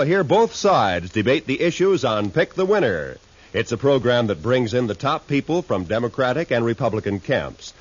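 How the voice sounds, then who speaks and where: An American man reading scripted network announcements in a polished, emphatic 1950s radio style. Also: medium pitch. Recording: muffled 1950s radio recording.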